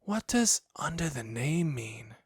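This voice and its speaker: A man's voice: on the high side, sing-song, slow, husky, no echo, clean studio sound, pitched above the performer's own voice, heavily acted. A man voicing a curious, earnest little boy in a children's storybook, eager and politely pleading. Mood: puzzled.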